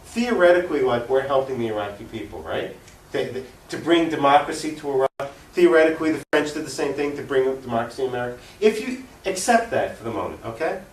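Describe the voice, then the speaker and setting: A man's voice: medium pitch, animated, fast, hardly any pauses, a little hiss or hum. Man, activist interview guest, emphatic, opinionated, unscripted argument, echoey room, distant mic. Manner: opinionated, emphatic, poses rhetorical questions. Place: room recording for a cable access show.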